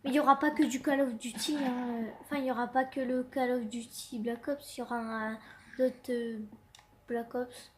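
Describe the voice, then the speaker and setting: A woman's voice: fairly high pitch, level tone, moderate pace, a little hiss or hum. A young woman hosting casual Minecraft commentary with a stuffy cold, hesitant and full of fillers and restarts. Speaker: gaming channel host.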